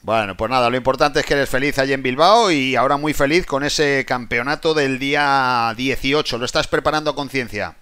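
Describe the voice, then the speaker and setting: Sports interviewer guy, bright crisp voice, friendly casual questions. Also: medium pitch, a little fast, hardly any pauses.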